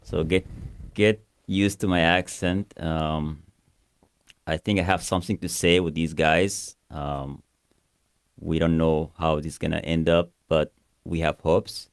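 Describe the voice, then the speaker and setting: A man's voice: a bass voice, level tone, a little slow, clear, resonant tone, no echo, clean studio sound. A young man from Sudan with a Sudanese accent, chatting casually and joking about himself on a podcast. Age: young adult. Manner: laughing, joking, self-deprecating. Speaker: pastor, co-host.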